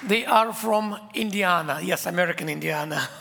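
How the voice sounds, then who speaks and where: Israeli man, non-native English, preaching with heavy vocal fry and booming repetition; church hall with long reverb. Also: moderate pace, a crowd in the background, background noise. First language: not English; English is his third language. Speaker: guest preacher. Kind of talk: sermon. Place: church sanctuary.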